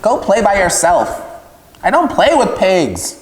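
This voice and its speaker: A man's voice: sits high, huge pitch swings, unhurried, voice pushed up, full character voice. A man voicing a haughty young storybook lamb, curt and scornful. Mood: haughty, dismissive. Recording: echoey room with long reverb.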